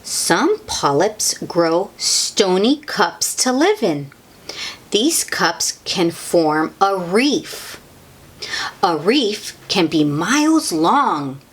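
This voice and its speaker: A woman reads aloud to young children at a very slow, deliberate pace. Her slightly low voice moves with lively intonation as she comments simply and invites the child to look and wonder. Faint noise sits behind her. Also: husky. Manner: child-directed, asks the listener questions, exclaims in wonder. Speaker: host reading picture books aloud to children.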